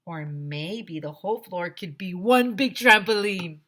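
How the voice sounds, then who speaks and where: A woman with a fairly low voice reads a children's chapter book aloud. She is middle-aged and stresses words theatrically, telling it as a schoolkid would. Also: a little slow. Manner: expressive, theatrical emphasis. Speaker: teacher reading aloud to children. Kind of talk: animated children's story read-aloud in a schoolboy's first person. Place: small decorated room indoors. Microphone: headset microphone.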